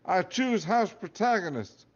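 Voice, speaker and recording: A man voicing a panicky young male wizard student, high and strained. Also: lively intonation, moderate pace, mellow. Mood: panicked. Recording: dull lo-fi recording.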